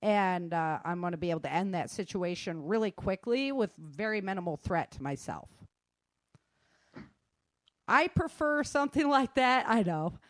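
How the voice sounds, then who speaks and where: A woman's voice: lowish voice, lively intonation, normal speed, no room sound, studio quality. A woman giving casual, conversational advice in an emphatic, plain-spoken manner. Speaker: guest sharing self-defense advice.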